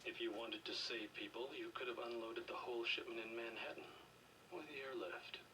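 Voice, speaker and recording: An actor playing a cold, condescending government official in a video game, speaking in measured, quiet threats. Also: male voice, medium pitch, fairly even intonation, far from the mic, noisy background, lightly acted. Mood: controlled threat. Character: cold, menacing middle-aged male government official interrogating a prisoner.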